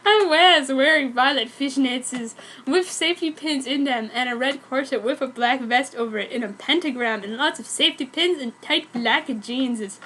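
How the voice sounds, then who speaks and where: A woman's voice: high-pitched, normal speed, a bit bright, a little hiss or hum. A woman narrating a fan fiction story as a dramatic reading, in a theatrical, mock-serious way.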